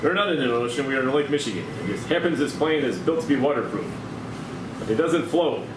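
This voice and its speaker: Guy reading as deadpan airline captain, formal n earnest, echoey noisy room. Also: mid-range voice, fast, lightly acted. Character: earnest, absurdly calm male airline captain. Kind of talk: comedy screenplay table read. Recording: echoey room, clear background noise.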